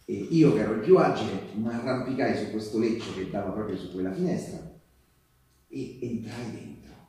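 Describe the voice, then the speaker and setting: A male actor playing an earnest partisan and postal worker, telling his wartime story in a halting, conversational stage monologue. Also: on the low side, mellow, slight background noise, natural voice. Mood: hushed, suspenseful. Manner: conversational, halting, with rhetorical tags and repetitions. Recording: echoey live theatre, distant microphone.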